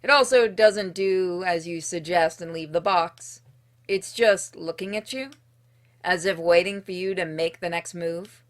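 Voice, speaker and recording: A woman reading a visual novel game's narration aloud in an expressive storytelling way, with casual, dryly humorous asides. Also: lowish voice, unhurried. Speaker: streamer playing and narrating a game.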